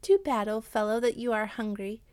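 A woman's voice: a little high, fairly even intonation, normal speed, darkish tone, no echo, pitched above the performer's own voice, natural voice. A woman voicing a sly, boastful female house cat in a storybook, teasing and gloating.

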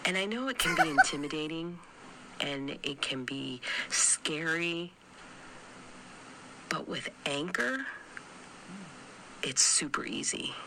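A woman with a raspy voice hosting her own podcast, talking in a soft, sultry, breathy way. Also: sits low, expressive, slow, long pauses. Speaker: podcast host in a played clip. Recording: noisy played-back clip.

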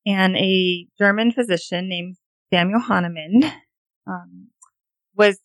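Woman, montana accent, interview guest, steady explaining voice, mid pitch, dry close sound. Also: takes it slowly, dry recording. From Montana, USA. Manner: steady, clear explaining with fillers. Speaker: homeopath guest.

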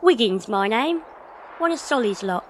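A streetwise Victorian urchin boy played by a woman talks. The voice sits fairly high, with a faint noisy ambience around it. It is acted for an audio play. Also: animated, moderate pace, natural voice. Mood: eager, cheeky. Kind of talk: audio drama acting.

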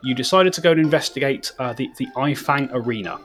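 Man, game master, stammering narration, mid pitch, faint music behind. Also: fast. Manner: stammering, repeats words, self-deprecating.

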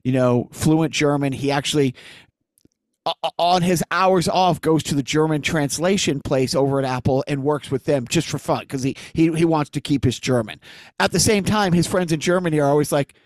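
A man with a slightly high voice hosts a relaxed chat. He rambles through anecdote after anecdote, recorded close and dry with no room sound. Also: moderate pace, no room sound. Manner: rambling storyteller, jumping between anecdotes. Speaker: podcast host. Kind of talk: casual chat.

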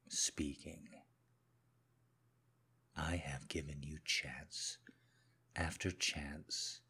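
A man voicing a controlling husband who confronts his wife in an audio roleplay, speaking slowly and dropping into hushed whispers. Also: very deep voice, breathy edge, pitched below the performer's own voice, exaggerated voice.

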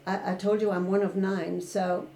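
A woman's voice: medium pitch, little pitch movement, moderate pace, warm tone, reverberant, slight background noise. An older woman with an Irish accent, a podcast guest telling her own story in a candid, reflective way. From Ireland. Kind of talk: personal recovery story in a podcast interview.

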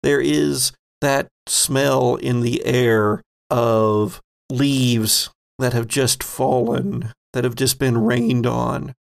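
A man narrating a tabletop horror game as game master, telling it in suspenseful, descriptive phrases with a gravelly creak. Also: medium pitch, steady tone, drawn-out words, few pauses.

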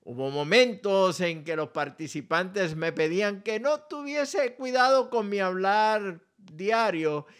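A man with a Puerto Rican accent, a pastor and ministry director delivering a scripted message slowly and deliberately. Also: fairly high pitch, a bit bright, clear, resonant tone. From Puerto Rico. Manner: slow, deliberate, spells out contact details carefully. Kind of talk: scripted radio-style message.

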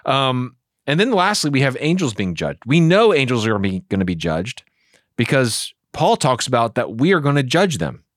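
A man's voice: mid-range voice, normal speed, no room sound, clean studio sound. A man, a pastor, talking earnestly and with animation in a casual theology discussion. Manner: earnest, animated, acts out inner voices.

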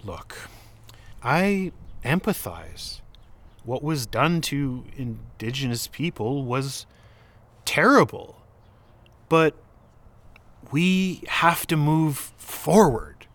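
A man's voice: on the high side, sing-song, very slow, long pauses, fairly bright tone, heavily acted. An actor playing a proud, defensive Canadian man in a naturalistic audio drama, earnest and argumentative, well-meaning but condescending. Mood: defensive, conciliatory.

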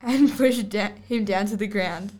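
A woman's voice: mid-range voice, a little slow, a little mellow. A girl of about eleven or twelve reading scripted storybook narration aloud as a student podcast host. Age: child, about 11 to 12.

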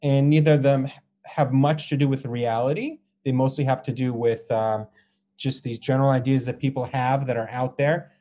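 An American man with a medium-pitched voice teaches a class online in an informal lecture style. His delivery is halting and hesitant, broken by frequent ums and pauses. The audio comes from a video call. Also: dark tone. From Israel and Colorado, US.

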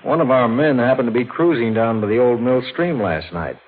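An actor playing a matter-of-fact, folksy American state police officer with easygoing authority in a 1940s radio drama. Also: male voice, mid-range voice, expressive, fairly quick, lightly acted. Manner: plain-spoken, easygoing authority. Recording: tinny, noisy old radio recording.

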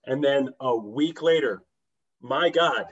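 Slightly muffled call audio, guy guesting on a podcast, laid back chat, laughs a lot, medium pitch. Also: slow pace. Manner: laughs easily, informal. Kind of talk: casual chat. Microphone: USB microphone on remote call.

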